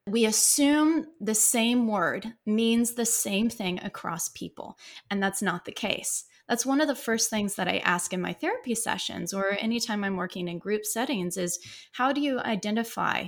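A woman's voice: medium pitch, lively intonation, normal speed. Chatty woman psychotherapist on a podcast. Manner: expansive, laughs easily, many fillers. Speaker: psychotherapist guest. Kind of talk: podcast interview answer.

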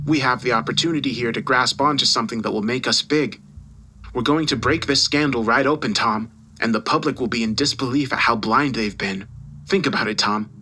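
A man's voice: mid-range voice, slight background noise, close to an everyday voice. A man playing a furious, condescending newspaper boss who berates his employee in a dramatised audio story. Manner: condescending, mocking, forceful.

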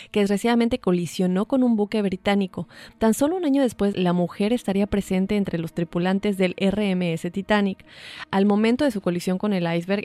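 A woman's voice: mid-range voice, a little mellow. A woman, co-hosting a casual chat and telling a story with many fillers. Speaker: podcast co-host.

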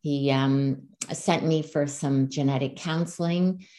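A woman's voice: low-pitched, steady tone, takes it slowly, mellow. A woman in her sixties, born in the Czech Republic, talking earnestly and reflectively as a guest on an interview podcast, often restarting her sentences. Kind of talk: conversational interview. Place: Calgary, Canada.

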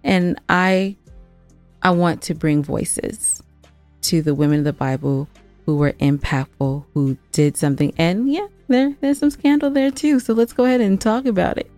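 A woman's voice: lowish voice, expressive, moderate pace, darkish tone, soft background music. A woman co-hosting a podcast, talking casually and earnestly to listeners with an emphatic, playful streak. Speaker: podcast co-host and producer.

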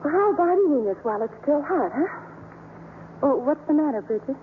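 A kindly, chatty newspaperwoman in a 1950s radio play. She is fluttery and hesitant, her pitch swooping freely. The broadcast sounds muffled with a faint hiss. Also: animated, a little fast. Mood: anxious.

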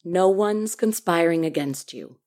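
A woman's voice: on the low side, measured pace, darkish tone, close to an everyday voice. A woman playing a sceptical, rational girlfriend in naturalistic audio drama dialogue, speaking bluntly. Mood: exasperated. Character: sceptical, exasperated girlfriend of a sleepless doctor.

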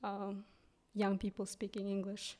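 A young woman from Kyrgyzstan with a Kyrgyz accent, a guest English teacher speaking English as a third language, pausing often with ums and restarts. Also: mid-range voice, monotone, darkish tone. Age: young adult. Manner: hesitant, many ums and restarts. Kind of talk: casual interview.

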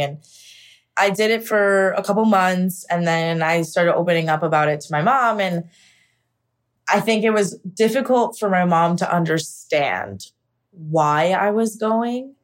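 A young woman from the United States candidly telling her own story in an interview, reflective and full of casual fillers. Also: lowish voice. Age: young adult. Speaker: actor sharing her story. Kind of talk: personal interview monologue.

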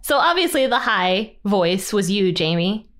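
A woman hosting a podcast, chatting in a relaxed, casual way and laughing easily. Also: a little slow. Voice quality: low singing voice. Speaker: podcast host. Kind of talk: casual conversational interview.

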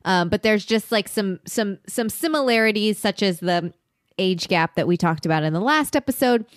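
A woman's voice: medium pitch, expressive, moderate pace, no echo. Rambling woman podcast co-host, dry sound. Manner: hesitant, many fillers and restarts. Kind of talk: casual chat about a TV show.

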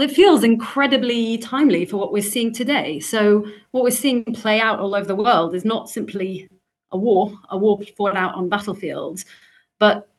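A woman with a British accent speaking as a podcast guest, giving thoughtful, conversational commentary in a reflective way. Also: mid-range voice.

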